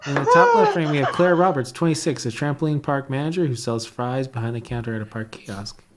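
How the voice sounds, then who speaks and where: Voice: mid-range voice, big pitch swings, fairly quick. A livestream participant casually reading out chat messages in an amused way.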